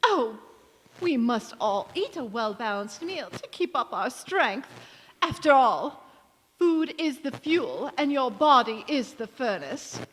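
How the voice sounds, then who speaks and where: A woman's voice: high voice, big pitch swings, slow pace, slightly rough, heavily acted. A woman playing a doting, condescending older mother-in-law in 1940s radio comedy, cooing and mock-polite. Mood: cooing, fussing. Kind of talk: 1940s radio comedy acting, staged revival. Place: community theatre stage in a church. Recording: echoey hall, long reverb.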